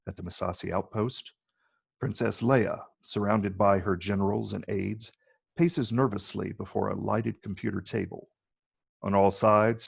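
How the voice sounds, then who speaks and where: Brief: male narrator for an action screenplay, lowish pitch, husky and creaky, reads stage directions. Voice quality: gravelly, husky.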